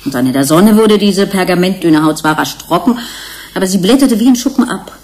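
An actress playing a matter-of-fact researcher in a German radio play, explaining things with precision. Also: female voice, on the low side, animated, fairly quick, darkish tone, lightly acted. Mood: explaining, sober. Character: matter-of-fact female researcher on an investigating team.

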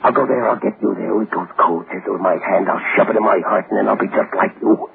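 Crazed revenge seeker, old radio melodrama, creaky voice, muffled recording. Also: male voice, lowish voice, exaggerated voice. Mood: bitter, frenzied. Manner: melodramatic, halting, repeating phrases. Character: vengeful, half-mad man hunting his enemy among graves. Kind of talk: 1940s radio horror acting.

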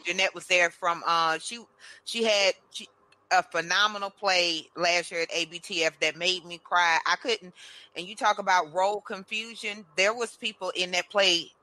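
A bright, forceful woman hosting a relaxed radio chat. She talks in a playful, gossipy way, and her voice is very dry and close to the mic. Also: lowish voice, normal speed, dry recording, poor audio. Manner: chatty, playful, reads out listener comments. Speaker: host. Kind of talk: casual radio talk.

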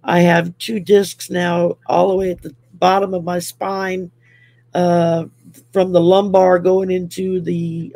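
A woman in her early sixties from Louisiana talks over a video call from her home. Her voice is gravelly and she rambles through fillers and restarts. The sound is dry and close. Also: lowish voice, a little mellow, no room sound. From Louisiana, USA. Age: 60s. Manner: rambling, many fillers, laughs often. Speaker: guest. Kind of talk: casual chat. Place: home room.

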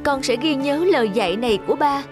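A woman voicing a headstrong, carefree young daughter in an animated moral tale, girlish and expressive. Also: high-pitched, fast talker, soft background music. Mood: reflective.

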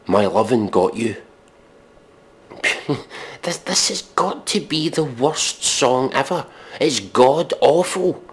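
A man giving a mock dramatic reading in deadpan, with exasperated, scornful, sweary commentary. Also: mid-range voice, takes it slowly. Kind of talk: deadpan recitation of pop lyrics with sweary commentary.

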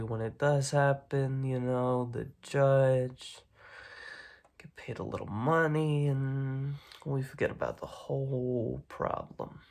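A young man playing a smug, menacing mob boss in an ASMR roleplay, speaking in halting phrases with a breathy, raspy voice that often drops to a whisper. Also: medium pitch. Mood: smug, confident. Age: young adult. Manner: slow, halting, repeats words, quietly intimidating. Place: dim room at home, indoors.

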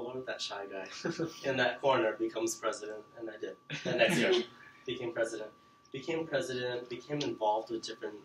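Young man, low voice, chatty guest speaker, echoey classroom. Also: slight background noise. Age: young adult. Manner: relaxed, chatty, many fillers. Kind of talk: casual talk to students. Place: classroom with projector screen. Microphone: far camera. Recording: roomy classroom from far off.

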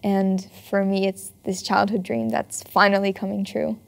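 A teenage girl from Switzerland talks earnestly about dancing, speaking in a narrow, even pitch. She pauses often with small fillers as she thinks. She sits for an interview in a bright ballet studio. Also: gravelly. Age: teens. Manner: thoughtful, earnest, with frequent ums. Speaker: ballet student. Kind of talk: documentary interview.

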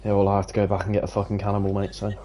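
A man's voice: on the low side, completely flat delivery, racing, mellow, a little hiss or hum. A young man joking around with friends while gaming. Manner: joking, laughs often. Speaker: gamer. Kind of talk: casual chat over voice chat.

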